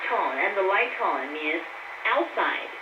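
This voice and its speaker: A woman's voice: on the low side, sing-song, slow pace. A woman co-hosting a scripted radio language lesson, explaining things in a didactic way over shortwave. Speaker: language lesson co-host and teacher. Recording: muffled, echoey, very noisy shortwave radio.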